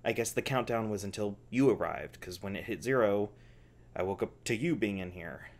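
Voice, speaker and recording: A man playing an anxious, defiant scientist held captive in an ASMR audio drama, halting and sweary. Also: lowish voice, lightly acted. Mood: uneasy, explaining.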